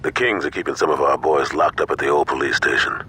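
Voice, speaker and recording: A brisk gang leader. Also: male voice, extremely low pitch, background noise. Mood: serious. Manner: calm, brisk, giving orders. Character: serious male gang leader giving orders. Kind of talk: video game cutscene acting.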